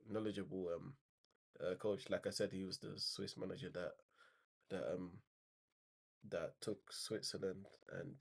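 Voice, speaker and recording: A man's voice: lowish voice, darkish tone. A man with a slight cold and a bit nasal, casually running through football news in a rambling way with many fillers and restarts. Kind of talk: casual spoken news roundup.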